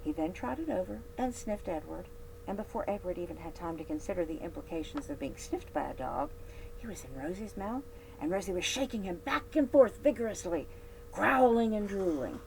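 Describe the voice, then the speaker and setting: Low-voiced woman reading a storybook aloud. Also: moderate pace, creaky, rough recording. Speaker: library read-aloud host. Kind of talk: scripted storybook narration. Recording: steady background hum and noise.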